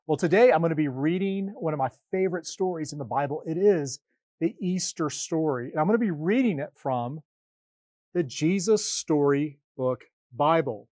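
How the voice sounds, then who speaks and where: A man reading a children's Bible story aloud as a priest, with dramatic, deliberate phrasing and pauses. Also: on the high side, expressive, a little mellow, no echo, clean studio sound.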